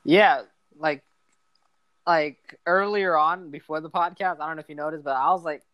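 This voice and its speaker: A young man from Los Angeles hosting a podcast, chatting casually in an excitable, slangy way. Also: fairly high pitch, moderate pace, clean tone, dry recording, muffled recording. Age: young adult. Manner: excitable, slangy, says bro and dude a lot. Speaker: podcast host. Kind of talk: casual chat.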